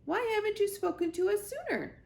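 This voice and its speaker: A woman's voice: very high voice, lively intonation, brisk, creaky, a little mellow, echoey room, voice pushed up, exaggerated voice. A woman voicing a bossy, jumpy male owl who screeches his announcements to a crowd of animals. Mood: alarmed.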